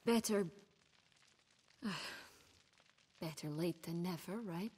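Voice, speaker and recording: A woman's voice: on the low side, extremely slow, a little mellow, slightly rough, long reverb tail, exaggerated voice. A woman playing a guarded, proud noblewoman in a video game cutscene. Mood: rueful. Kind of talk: cinematic video game dialogue.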